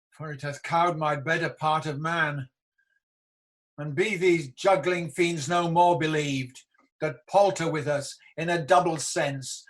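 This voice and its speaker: Doomed warrior tyrant , male actor: highish, piercing voice, declamatory radio drama verse, defiant to the end. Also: a bit bright. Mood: bitter dismay. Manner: declamatory, weighty verse delivery. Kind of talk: radio play Shakespeare acting. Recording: home recording over video call.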